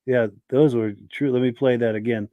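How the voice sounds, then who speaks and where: A man hosting a podcast in a relaxed, chatty way, reading out viewer comments while his voice is hoarse from a sore throat. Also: mid-range voice, normal speed, no echo, narrow, tinny audio. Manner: relaxed, chatty, affirming with yeahs. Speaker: podcast host.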